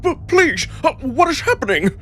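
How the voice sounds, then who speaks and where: High, timid old wolf doctor. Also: male voice, big pitch swings, moderate pace, bright, forceful tone, slight background noise, heavily acted. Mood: panicked, pleading. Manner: stammering, pleading. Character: timid elderly wolf doctor, frightened and deferential.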